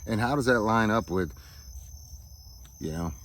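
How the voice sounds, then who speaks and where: Man, deep low voice, reflective vlog monologue, halting delivery, searching for words, insects chirping faintly outdoors. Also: lively intonation, a bit bright, slight background noise. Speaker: vlogger. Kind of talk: reflective personal monologue to camera.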